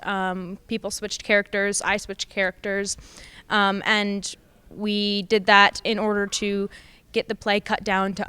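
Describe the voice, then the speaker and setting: A woman's voice: medium pitch, a bit bright, smooth, no echo. A teenage female student actor with an American accent, explaining things in an interview.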